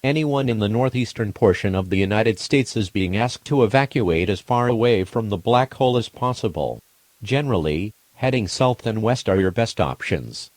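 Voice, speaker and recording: A man voicing a formal emergency alert announcer, reading scripted warnings in a measured, impersonal, official manner. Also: on the low side, expressive, darkish tone, no room sound, lightly acted. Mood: official, grave.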